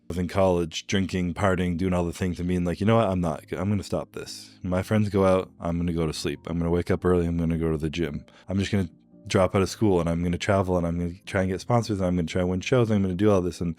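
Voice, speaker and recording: A young man with a very low voice speaks quickly and casually. His telling rambles, one clause running into the next. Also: fairly even intonation, a little mellow. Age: young adult. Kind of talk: casual conversational storytelling.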